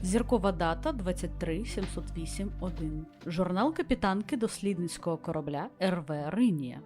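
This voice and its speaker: Female starship captain read by a woman, formal and measured log entries for a visual novel, expressive pitch movement, faint music underneath. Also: lightly acted. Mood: composed, factual. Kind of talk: scripted narration read aloud from a visual novel.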